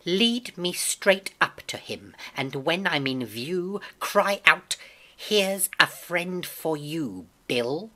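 A woman acts out a blind old beggar man from an adventure storybook. The voice is low and husky, dragging words into a sly sing-song. The manner is cold, sneering and cruel. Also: lively intonation, takes it slowly, exaggerated voice. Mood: coldly commanding. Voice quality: soft-spoken, cold.

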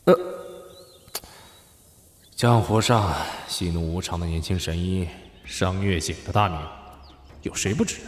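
A man's voice: sits low, a little slow, long pauses. An actor playing a scornful, cautious man of a royal faction in an audio drama, sneering and theatrical. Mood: wary. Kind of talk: Chinese historical audio drama acting. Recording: echoey reverb, music under the voice.

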